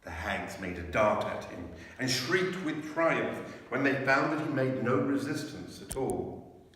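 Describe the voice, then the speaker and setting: In a large, very reverberant church, a man narrates a storybook from some distance off the microphone. He reads slowly and dramatically, with deliberate phrasing at a medium pitch. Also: expressive, fairly bright tone. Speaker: clergyman reading a children's story aloud. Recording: very echoey church, far from mic.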